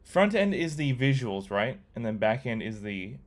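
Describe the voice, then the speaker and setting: A man's voice: medium pitch, normal speed. Casual young man livestreaming his coding. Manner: relaxed, rambling, reads chat aloud with many fillers. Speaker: livestreamer learning to code.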